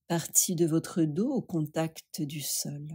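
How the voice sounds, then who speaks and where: A woman leading a guided yoga nidra meditation, speaking gently and soothingly with long pauses. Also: low voice, slightly rough.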